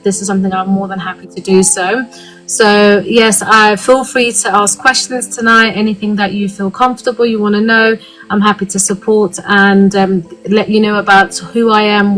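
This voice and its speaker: A woman's voice: mid-range voice, flat delivery, moderate pace, fairly bright tone, soft background music, rough recording. A woman in her thirties from the UK, speaking earnestly as a guest in a live online talk, with frequent "um" fillers.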